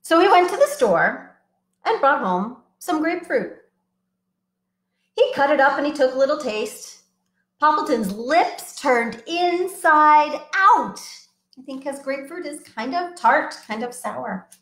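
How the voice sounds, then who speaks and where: Lively woman reading aloud to small children. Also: sits high, measured pace, roomy sound. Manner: animated, sing-song, coaxing children to join in. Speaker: children's librarian. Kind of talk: storytime read-aloud for young children.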